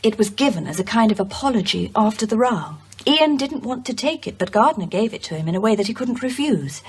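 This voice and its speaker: A haunted widow in a horror audio drama. Her voice sits fairly high and has a husky edge. She is grave and hesitant, yet insistent when afraid. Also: big pitch swings, brisk, heavily acted. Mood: grave, uneasy. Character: anxious, solemn widow haunted by a curse.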